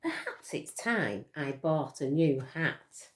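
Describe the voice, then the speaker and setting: Woman acting storybook lady, fussy, puzzled, exclaiming, animated pitch, unhurried. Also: full character voice. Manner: exclaiming, wondering. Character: fussy, puzzled lady in a hat, picture book.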